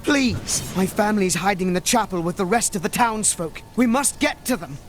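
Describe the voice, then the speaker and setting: An actor playing a frightened townsman who pleads and shouts urgently. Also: high voice, lively intonation, normal speed, soft background music. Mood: panicked. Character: frightened male townsman fleeing a burning town.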